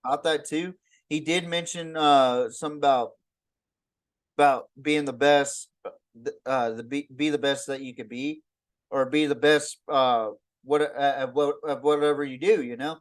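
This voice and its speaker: A man with a somewhat high voice co-hosts a live podcast. His talk is casual banter, loose and informal, with swearing thrown in freely. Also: steady tone, moderate pace. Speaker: podcast co-host.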